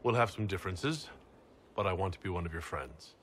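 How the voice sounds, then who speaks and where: An actor playing a composed, diplomatic leader in a video game, speaking in a steady, considered way. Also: male voice, low voice, a little fast, in a large hall, slight background noise. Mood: conciliatory. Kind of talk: dramatic video game acting.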